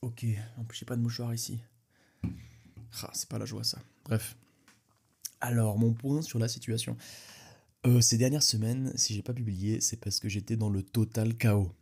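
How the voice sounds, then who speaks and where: A man's voice: medium pitch, level tone, moderate pace, creaky, long pauses, a little mellow, breathy edge. A young man with a cold, sniffling as he hosts a casual solo podcast, rambling with many restarts and speaking straight to the listener. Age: young adult. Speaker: podcast host.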